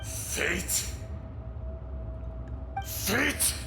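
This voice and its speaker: An actor playing an enraged, vengeful ancient dragon in a game, speaking with scornful booming shouts. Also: male voice, drawn-out words. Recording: echoey game dub with music. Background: game score.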